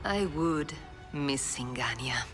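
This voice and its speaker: A proud, imperious female crime boss in a game cinematic. Her voice is notably low, her delivery formal and cold with an edge of threat. Music runs behind her. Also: expressive, takes it slowly, heavily acted. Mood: cool politeness. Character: proud, ruthless, commanding woman crime boss. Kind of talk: video game cinematic voice acting.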